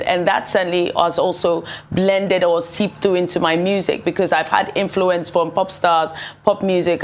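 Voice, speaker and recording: A young woman from Ghana speaks in a low-pitched voice as a musician guest in a television studio interview. She answers at length and articulately, with frequent "you know"s woven in.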